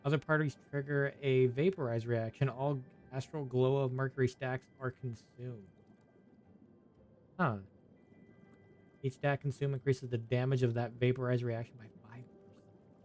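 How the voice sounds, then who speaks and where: A man's voice: mid-range voice, darkish tone. Guy streamer chatting over a game, medium voice, kinda mumbly n chill, bit of game music in back. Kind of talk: casual gameplay commentary. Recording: game music playing behind.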